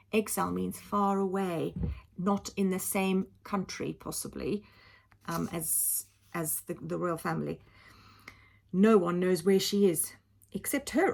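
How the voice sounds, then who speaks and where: A woman's voice: medium pitch, fairly bright tone, slight background noise. A woman reading a children's storybook aloud like a teacher, with dramatic emphasis and simple explanatory asides.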